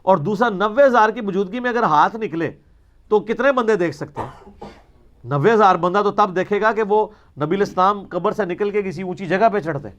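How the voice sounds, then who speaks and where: A man's voice: sits high, fairly quick, fairly bright tone, highs cut off. A man delivering an argumentative religious lecture in a mocking, rhetorical way, quoting narrations as he goes. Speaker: religious teacher answering questions.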